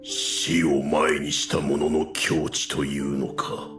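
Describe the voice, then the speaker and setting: Solemn overlord, low and resounding with echo, measured and grave, anime audio drama. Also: male voice, flat delivery. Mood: grave, impressed. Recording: echoing voice over prominent music.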